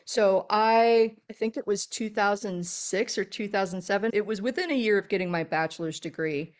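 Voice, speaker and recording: A middle-aged woman with a deep, low-sitting voice chats as a podcast guest. She tells her stories with animation and an easy laugh, in a relaxed, conversational way. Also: normal speed. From Texas. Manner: animated storyteller, laughs often. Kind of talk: casual interview chat.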